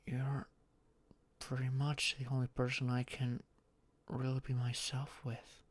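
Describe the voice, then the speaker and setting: A young man playing a shy, anxious friend in an intimate audio roleplay, speaking haltingly with sighs and murmurs. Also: mid-range voice, completely flat delivery, close to an everyday voice. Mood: sheepish, tender. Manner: halting, sighing, breathy murmurs rising to tearful outbursts.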